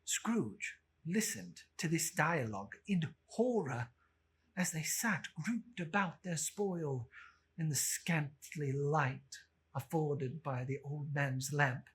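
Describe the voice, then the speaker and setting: Narrator for dramatic classic fiction: solemn, theatrical, unhurried, with a bright, breathy edge. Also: medium pitch. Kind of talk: dramatic scripted storybook narration.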